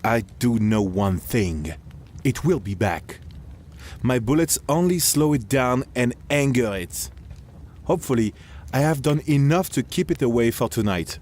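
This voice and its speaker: A man's voice: mid-range voice, expressive, unhurried, darkish tone, a little hiss or hum, close to an everyday voice. A man playing a humble, courteous eighteenth-century palace guard in an audio drama, with formal, old-fashioned phrasing. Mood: earnest, grave.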